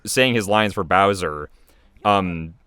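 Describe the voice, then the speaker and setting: Male creator commentator, low clean voice, casual and rambling. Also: takes it slowly, pushed and bright, clear, resonant tone. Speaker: creator and voice actor giving commentary.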